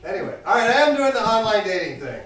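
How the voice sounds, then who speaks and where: High-voiced man doing deadpan stand-up. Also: fast, a little hiss or hum. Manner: deadpan one-liners with self-mocking asides. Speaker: stand-up comedian. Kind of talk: open-mic stand-up set. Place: small cafe stage. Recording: echoey room recorded from a distance. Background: audience laughter.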